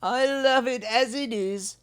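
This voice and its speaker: Lady doing a sweet old aussie bilby for a kids book, high voice, draws words right out. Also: takes it slowly, smooth. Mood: tender. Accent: Australian. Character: gentle old male bilby, devoted and tender.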